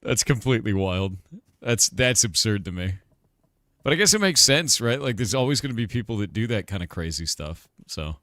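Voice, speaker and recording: A man's voice: on the low side, sing-song, moderate pace, fairly bright tone, no room sound. A man hosting a stream, chatting casually and enthusiastically with a guest while playing a game. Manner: enthusiastic, talks while gaming. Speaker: streamer host. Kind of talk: casual interview chat.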